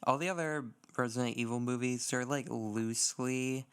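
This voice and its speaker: Casual man with creaky, clean voice. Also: medium pitch, fairly even intonation, moderate pace. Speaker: podcast co-host. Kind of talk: casual gaming podcast chat.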